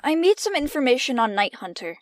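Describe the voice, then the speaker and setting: Casting: woman to play curious, excitable filly princess for cartoon audio drama; very high register, lively swoops. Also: a little fast, no room sound, clean studio sound. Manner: excitable, inquisitive. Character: eager, curious young pony princess girl.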